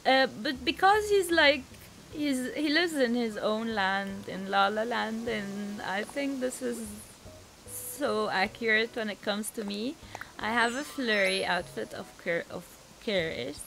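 Chatty Egyptian woman gaming, slow-paced. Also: on the high side, creaky, a bit bright, a little hiss or hum. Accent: Egyptian Arabic. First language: Arabic. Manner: chatty, spontaneous, giggly. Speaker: gaming YouTuber. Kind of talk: casual gameplay commentary. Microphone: headset.

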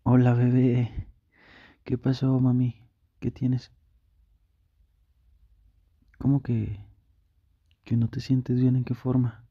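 A man's voice: mid-range voice, monotone, slow, pauses often, husky, no room sound, lo-fi sound. A man playing a doting, anxious young boyfriend in an intimate ASMR roleplay, tender and reassuring, repeating his words insistently. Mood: concerned, gentle.

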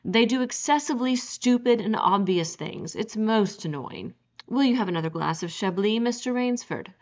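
A woman's voice: on the high side, expressive, normal speed, a little mellow, voice pushed up. A woman playing a suave, courtly villain general. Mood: courtly, menacing. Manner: genteel, gracious, relishing. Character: suave, courtly, menacing aristocratic male general. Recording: dull lo-fi recording.

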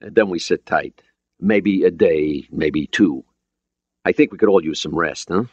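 A man voicing a confident American submarine captain in an audiobook, giving brisk, plain-spoken military orders. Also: deep voice, a little mellow, dry recording, lo-fi sound.

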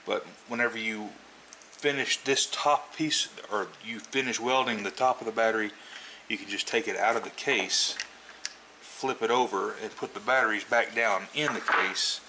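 A middle-aged man giving a casual hands-on tutorial, explaining haltingly and thinking aloud as he works indoors at a table. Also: fairly low pitch. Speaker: host of a tool repair video channel. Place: indoors at a wooden table at home. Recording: steady background noise, home video.

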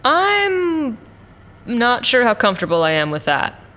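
An actress playing a calm, wry, practical squad leader in an audio drama, with a dry, measured manner. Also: female voice, fairly high pitch, heavily acted.

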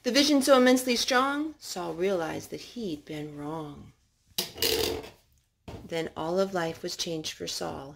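A woman reading children's picture books aloud in an expressive, measured storytime style. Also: medium pitch, a little hiss or hum. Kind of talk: read-aloud storytime narration. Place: indoors at home.

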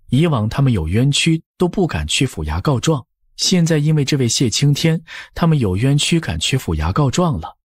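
Husky male audiobook narrator, lively intonation. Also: mid-range voice, dry recording, studio quality.

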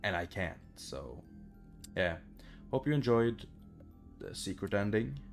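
A young man with a low voice and plenty of fry in it, commentating on a game. He talks casually and swears freely, with faint music behind him. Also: very animated, takes it slowly. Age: young adult. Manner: sweary, informal. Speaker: gaming video creator. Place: small bedroom, indoors.

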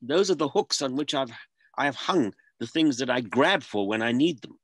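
A man in his seventies from South Africa, a guest on a video call interview, speaking earnestly and halting over repeated starts. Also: mid-range voice, lively intonation, fairly bright tone, dry recording, clean studio sound. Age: 70s. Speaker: guest filmmaker. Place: home room.

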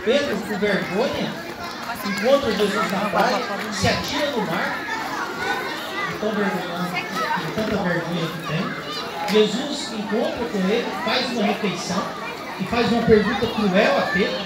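A man's voice: high-pitched, normal speed, distant mic, heavy background noise. A man with a Brazilian Portuguese accent, a priest giving an earnest homily that builds to emphatic points at an outdoor night Mass under a tent. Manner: earnest storytelling, rising to emphatic. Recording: roomy sound over a loud crowd.

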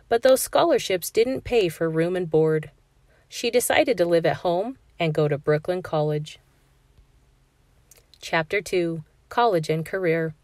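Brief: woman narrator, lowish voice, dry close mic, calm clear classroom read-aloud. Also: dry recording. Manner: clear, steady storytelling for kids. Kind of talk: children's picture book read-aloud.

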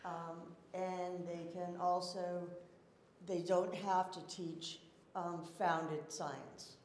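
A woman in the audience with a loud voice, chiming in from away from the mic. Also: lowish voice, flat delivery, extremely slow, a little hiss or hum. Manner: loud, interjecting. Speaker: audience member. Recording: echoey, distant from the mic.

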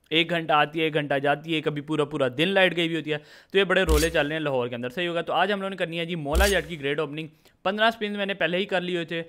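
A man's voice: on the high side, fairly bright tone, clean tone. A man with a Pakistani accent doing a casual, informal game commentary voice-over, mixing in English words. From Lahore, Pakistan. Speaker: gaming video host.

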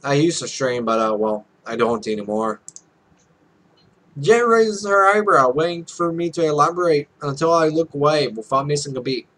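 A man's voice: on the high side, normal speed, fairly bright tone. A young man reading a visual novel aloud as a let's play host, offhand, stumbling over lines and adding casual, sweary commentary. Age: young adult.

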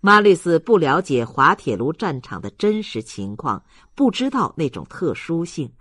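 Expressive husky male audiobook narrator. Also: on the high side, moderate pace, no echo, clean studio sound. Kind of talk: dramatic literary narration of a novel.

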